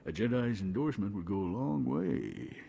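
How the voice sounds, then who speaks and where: An actor playing a pompous politician in a video game, with grandiose, theatrical patter. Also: male voice, low voice, huge pitch swings, moderate pace, vocal fry, dark tone, a little hiss or hum, full character voice. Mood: self-important. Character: pompous, smooth-talking male politician, a senator. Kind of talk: video game cutscene acting.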